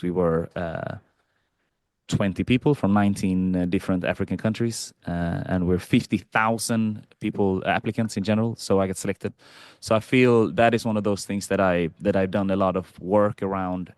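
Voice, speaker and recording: A man from Sweden in a reflective, casual conversation, talking haltingly and repeating phrases as he thinks. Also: low voice, a little mellow, clear, resonant tone, dry recording, clean studio sound. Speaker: podcast participant.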